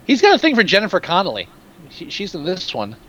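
A man with a fairly high, very lively voice that swoops around as he talks films on a casual podcast. He is opinionated and swears freely. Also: fast, muffled recording. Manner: animated, profane, opinionated. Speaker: podcast co-host. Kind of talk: casual movie chat.

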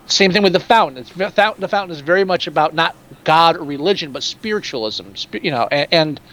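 A man with a fairly high, very lively voice that swoops around as he talks films on a casual podcast. He is opinionated and swears freely. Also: fairly quick. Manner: animated, profane, opinionated. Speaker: podcast co-host. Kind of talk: casual movie chat.

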